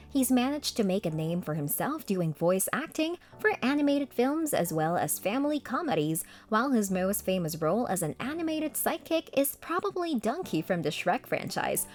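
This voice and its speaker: A woman reading scripted narration with a polished presenter's delivery. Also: a little high. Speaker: narrator of a list video. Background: background music.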